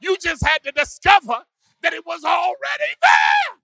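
A pastor preaching a sermon with call-and-response, swinging from hushed musing to shouted, sung climaxes. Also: male voice, extremely high pitch, wildly swooping pitch, normal speed, creaky, no room sound. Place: church.

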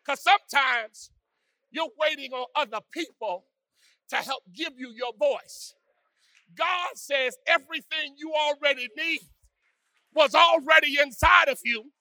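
A pastor preaching a sermon with call-and-response, swinging from hushed musing to shouted, sung climaxes. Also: male voice, very high voice, measured pace, dry recording, poor audio. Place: church.

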